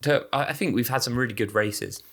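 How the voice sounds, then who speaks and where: A man's voice: medium pitch, a little fast, creaky, fairly bright tone. A man co-hosting a podcast, chatting casually with lots of fillers and restarts. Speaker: podcast co-host. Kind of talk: casual chat about racing.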